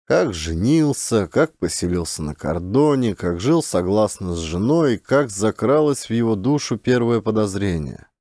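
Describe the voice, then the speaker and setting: Male audiobook narrator, dramatic literary read, soft dark tone, dry sound. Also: on the low side, big pitch swings, measured pace, few pauses, no echo.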